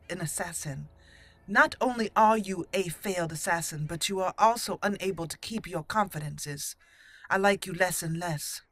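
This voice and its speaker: A woman hosting a roleplay let's play, voicing dialogue deliberately and theatrically and adding her own commentary. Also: mid-range voice, fairly bright tone. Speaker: gaming channel host.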